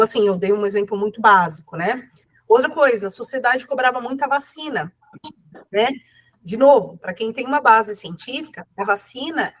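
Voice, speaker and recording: Woman with a cold, high voice, rambly casual interview talk. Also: a bit bright. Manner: rambling, many fillers and self-corrections. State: has a cold.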